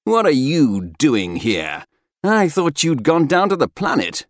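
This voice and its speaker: A man's voice: fairly high pitch, very animated, measured pace, no echo, studio quality, voice pushed up. A man voicing a polite, bookish young spaceman in an audiobook story, earnest and a little anxious. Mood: amiable, earnest.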